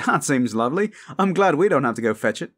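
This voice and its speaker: A man's voice: a little high, very animated, quick pace, fairly bright tone, voice pushed up. A man voicing a brash, sarcastic and impatient adventurer in an improvised fantasy audio roleplay.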